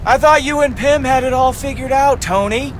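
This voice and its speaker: A man's voice: very high voice, normal speed, a little hiss or hum. A man voicing a cocky, wisecracking young space outlaw hero in a video game, glib and bantering. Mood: cocky, breezy. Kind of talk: cinematic video game acting.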